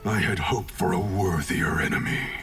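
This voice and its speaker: A man's voice: deep voice, normal speed, close to an everyday voice. A man playing a proud, stern clan grandmaster in a video game cutscene, curt and suspicious in manner. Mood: cold disdain. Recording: distant, under clear music.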